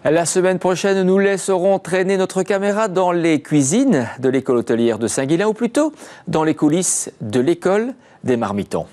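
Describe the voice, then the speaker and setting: Animated high-voiced male presenter. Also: normal speed. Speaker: programme presenter. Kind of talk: scripted TV presenting.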